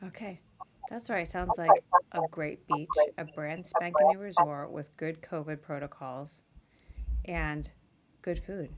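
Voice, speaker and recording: A woman's voice: deep voice, a little slow. A woman hosting a podcast, interviewing a guest in a casual, chatty and informal way. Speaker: podcast host.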